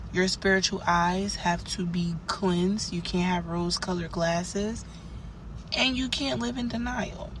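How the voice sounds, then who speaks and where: A young woman, hoarse for days, hosting a podcast and giving rambling, conversational spiritual advice with plenty of fillers. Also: lowish voice, a little hiss or hum, low-quality recording. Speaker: podcast host. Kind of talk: casual spiritual advice monologue.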